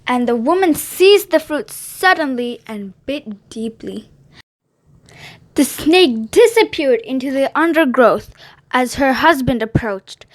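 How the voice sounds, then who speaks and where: A woman reading a Bible story aloud for children on her channel, gently, with small slips and restarts. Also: high-pitched.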